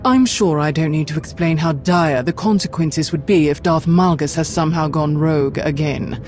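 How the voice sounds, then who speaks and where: A firm, brisk woman's voice, as an actress plays her of a commanding Sith lord who expects obedience. Soft music plays faintly behind her in the game scene. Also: low voice, lightly acted. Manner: brisk, authoritative.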